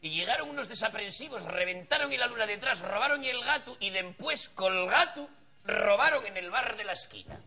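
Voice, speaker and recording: A comedian playing a brash, loud middle-aged rural villager with an Asturian accent in a TV studio sketch. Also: male voice, high-pitched, expressive, moderate pace, creaky, full character voice. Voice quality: loud, rustic, swearing. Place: TV studio set.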